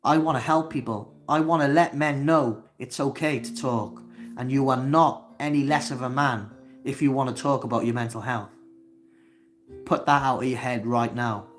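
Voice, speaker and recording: A man's voice: medium pitch, fairly even intonation, soft background music. A man with a Welsh accent from Wales, hosting a solo livestream, talking earnestly with frequent hesitations and restarts. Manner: earnest, halting, many fillers and restarts. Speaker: channel host. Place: indoors, seated facing camera.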